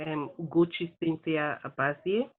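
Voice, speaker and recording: Measured woman from Nigeria, tinny audio. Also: low-pitched, slightly rough. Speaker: peace fellow. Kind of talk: interview answer.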